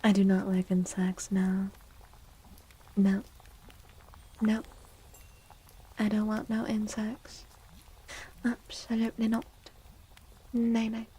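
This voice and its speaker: A soft-spoken woman hosting an ASMR livestream, gentle and unhurried, with a breathy, often whispered voice. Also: medium pitch, level tone, a little hiss or hum. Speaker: livestream host and tarot reader.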